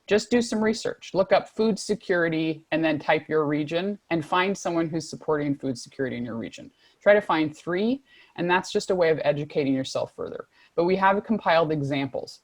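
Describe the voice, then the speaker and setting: A woman leads a panel over a video call, listing points in an organized, directive way. Her voice is low, and the sound is dry and close. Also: fairly quick, dry recording. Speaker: panel moderator. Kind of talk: presentation with slides.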